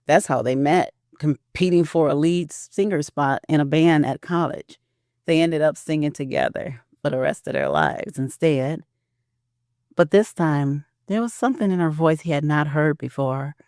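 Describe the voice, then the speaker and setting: Low-voiced woman narrating a drama. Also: animated, moderate pace, a little mellow, no echo, clean studio sound. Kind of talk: scripted audio drama narration.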